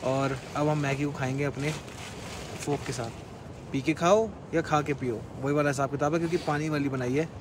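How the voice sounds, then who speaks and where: A man's voice: a little high, fairly even intonation, brisk. A young man chatting upbeat to viewers in a travel vlog, outdoors by a river in the snow. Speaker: travel vlogger. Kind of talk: casual vlog chat. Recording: outdoor recording with loud wind noise.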